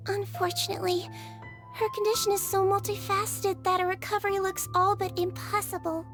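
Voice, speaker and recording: A tiny, caring creature nurse from a game, speaking in a very high, soft and patient tone. She sounds calm and matter-of-fact. A clear music score plays underneath. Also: fairly quick. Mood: reflective. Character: small gentle nonhuman nurse girl, calm and caring. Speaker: head nurse. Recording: game audio with music behind.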